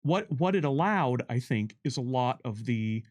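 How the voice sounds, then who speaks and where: A man with a middling pitch explains design decisions in a relaxed conversational style. He talks off the cuff like a developer and drops in short imagined quotes to make his point. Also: normal speed. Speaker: game developer on a commentary stream. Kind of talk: casual unscripted conversation about game design.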